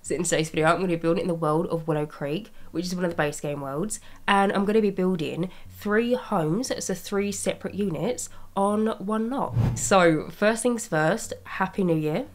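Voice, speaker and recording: A young woman with a slightly raspy voice from a cold, chatting casually as she narrates her video-game building. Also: on the low side, normal speed, few pauses. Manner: chatty, rambling, with small laughs. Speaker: Sims speed-build YouTuber. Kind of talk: casual commentary over gameplay. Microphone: voice-over recorded separately from gameplay.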